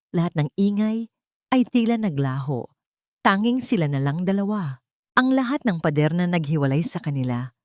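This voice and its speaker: Expressive woman narrator, lively swooping pitch. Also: no echo, studio quality. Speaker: story narrator. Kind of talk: dramatic scripted narration of a love story.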